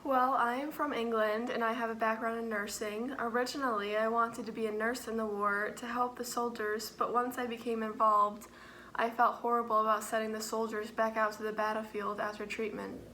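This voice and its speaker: Woman playing an earnest, principled english nurse, higher voice, sincere plain delivery. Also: little pitch movement, moderate pace, bright, forceful tone, a little hiss or hum, poor audio. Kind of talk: school project role-play interview.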